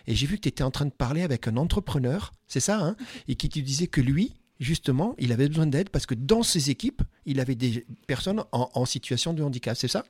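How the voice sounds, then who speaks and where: A man's voice: medium pitch, expressive, brisk, darkish tone, slightly rough, dry recording. Chatty man interviewing with dry sound. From Dordogne, France. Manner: chatty, enthusiastic, many restarts. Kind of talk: casual event interview. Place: quiet corner at a busy job fair.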